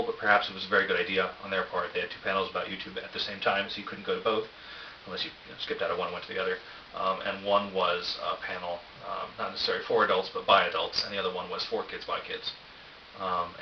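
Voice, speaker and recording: A man's voice: low-pitched, far from the mic. A middle-aged man giving a casual, rambling vlog recap in a hesitant, wry, self-deprecating way, recorded on a cheap camera. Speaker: vlogger. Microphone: cheap camera, some distance away. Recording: roomy echo, dull cheap camera mic, hiss.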